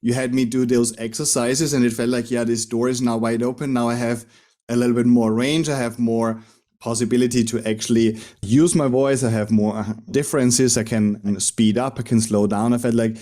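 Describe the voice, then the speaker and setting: A man's voice: medium pitch, dark tone. A podcast host, a man with an Austrian German accent who speaks English as a second language, chatting reflectively with his guest. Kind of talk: conversational interview.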